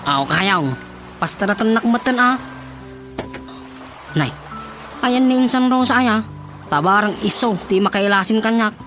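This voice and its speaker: A man's voice: high-pitched, unhurried, long pauses, bright, forceful tone, soft background music. An actor with an Ilocano Filipino accent playing a chatty, cheeky young male relative in a radio drama comedy. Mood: pleading, exasperated.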